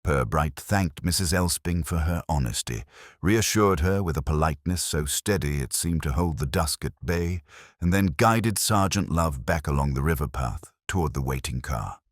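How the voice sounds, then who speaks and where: A man reading a genteel mystery story as narrator, in a measured, precise, literary manner. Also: a bass voice, expressive, no echo, clean studio sound.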